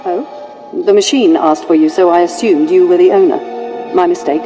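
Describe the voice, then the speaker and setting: A brisk, dutiful female lieutenant from a video game, voiced by an actress. Her voice sits fairly low, with formal, clipped phrasing, over prominent music. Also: close to an everyday voice. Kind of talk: video game character acting.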